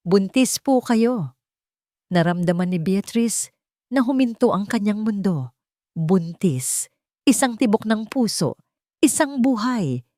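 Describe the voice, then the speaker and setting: Woman, dramatic story narrator, expressive pitch swings, close dry recording. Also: measured pace, pauses often, dry recording. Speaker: narrator of romance audio stories.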